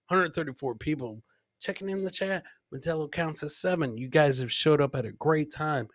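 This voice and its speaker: A man's voice: on the high side, normal speed, no echo, clean studio sound. A man hosting a live comedy podcast, giving casual, rambling commentary on clips and repeating his catchphrases.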